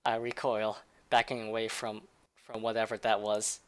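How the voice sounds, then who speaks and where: A woman reading a visual novel aloud in a game playthrough, voicing the inner narration with quick reactions. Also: extremely low pitch, level tone, clear, resonant tone. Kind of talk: let's play narration.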